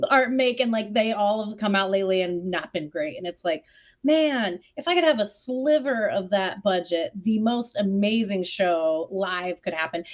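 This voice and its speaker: A woman's voice: on the high side, highs cut off. A woman in a casual panel discussion, talking informally and emphatically with lots of "like" sprinkled through. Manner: informal, heavy use of "like", emphatic. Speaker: theatre artist on a discussion panel.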